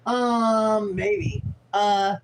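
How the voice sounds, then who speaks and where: A tired woman in her forties with a raspy voice, rambling frankly and swearing as she chats on a livestream. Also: medium pitch, fairly even intonation, very slow, slight background noise. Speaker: livestream host. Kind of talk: casual livestream chat.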